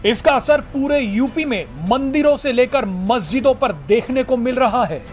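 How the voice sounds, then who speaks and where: A man narrates a scripted news report. His voice is pitched unusually high for a man, and faint music plays beneath his narration. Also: moderate pace, a little mellow.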